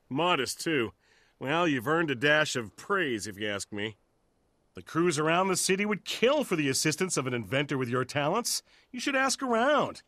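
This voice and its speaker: A man's voice: fairly high pitch, sing-song, moderate pace, pushed and bright, no room sound. A man voicing a chatty, teasing acquaintance in a fantasy video game, with a wordy way of talking. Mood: amused, approving. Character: chatty, teasing male asura acquaintance, impressed. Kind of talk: scripted game cutscene acting.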